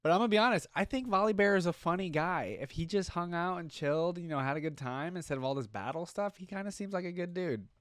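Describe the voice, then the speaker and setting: A man's voice: sits high, quick pace, few pauses, clear, resonant tone, no room sound, studio quality. A man hosting a reaction video, commenting casually off the cuff and musing aloud in questions. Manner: musing, often trailing into questions.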